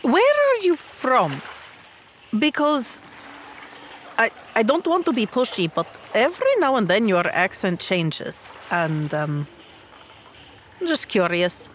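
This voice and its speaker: A woman playing a tabletop game, chatting casually in character with a hesitant, halting manner. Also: on the high side, sing-song, slight background noise. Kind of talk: casual in-character roleplay chat.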